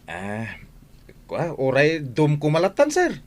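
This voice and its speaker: A man's voice: on the high side, wildly swooping pitch, slow pace, heavily acted. A man playing a lazy, jokey middle-aged utility worker in a comedy radio drama, whining and exclaiming. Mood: joking.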